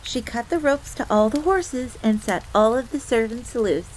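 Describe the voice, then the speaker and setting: A woman voicing an excitable, devoted fox maid who tells her story theatrically in the third person. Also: fairly high pitch, moderate pace, slight background noise, lightly acted, low-quality recording. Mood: devoted, proud. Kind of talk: in-character storytelling audio roleplay. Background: background ambience.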